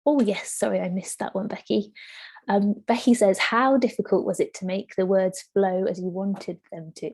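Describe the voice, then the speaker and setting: Female host, mid-pitched and husky, mellow tone, casual delivery. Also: steady tone, moderate pace. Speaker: host of an online book launch. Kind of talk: informal event hosting. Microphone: online video call.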